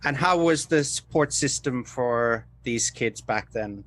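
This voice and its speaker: A man's voice: mid-range voice, a bit bright. A man hosting an interview, asking questions with hesitant uhs between phrases. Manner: hesitant, fills pauses with uh. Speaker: podcast host, interviewer.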